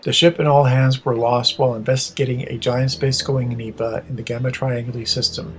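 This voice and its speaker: A man playing a stoic Vulcan starship captain in an audio drama, speaking in a measured, formal, precise way. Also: mid-range voice, flat delivery, slight background noise, lightly acted, rough recording. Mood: solemn. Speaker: voice actor.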